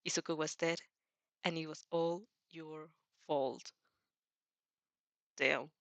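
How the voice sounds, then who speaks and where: A woman who is a non-native English speaker, hosting a casual fan fiction read-aloud with an informal, halting, giggly delivery and self-corrections. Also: deep voice, steady tone, dry recording. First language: not English. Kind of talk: casual reaction chat and story reading.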